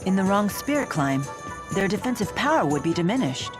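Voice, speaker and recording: A woman's voice: mid-range voice, big pitch swings, brisk, lightly acted. An actress playing a stern, dignified young woman warrior in a game, speaking directly and formally with composure. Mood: firm, composed. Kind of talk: English game dub acting. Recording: game soundtrack music behind the voice. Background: background music.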